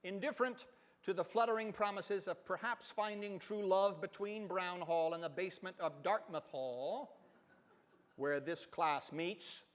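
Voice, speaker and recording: A man with a fairly high voice reads literature aloud in a reverberant hall. His phrasing is deliberate and theatrical, swelling for emphasis as in a staged dramatic reading. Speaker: professor giving a dramatic reading.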